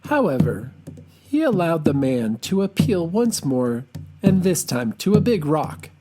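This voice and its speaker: A storyteller giving an expressive, scripted reading of a children's folktale with dramatic, deliberate phrasing. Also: mid-range voice, over loud music.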